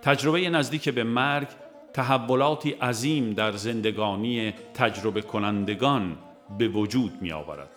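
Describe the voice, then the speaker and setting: A man reads a scripted audiobook in a measured, formal manner. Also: mid-range voice, music in the background. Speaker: audiobook narrator.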